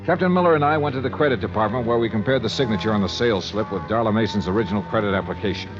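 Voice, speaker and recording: A man's voice: lowish voice, very fast, music in the background, close to an everyday voice. An American man playing a shrewd, wry private investigator in clipped 1950s radio drama style. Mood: wry narration. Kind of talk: 1950s radio drama acting and first-person narration. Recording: dull vintage 1950s radio recording.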